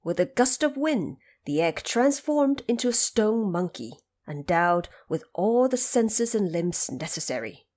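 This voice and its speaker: A woman narrating a mythic tale in a scripted audio drama style, with measured, explanatory phrasing. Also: mid-range voice, very animated, darkish tone.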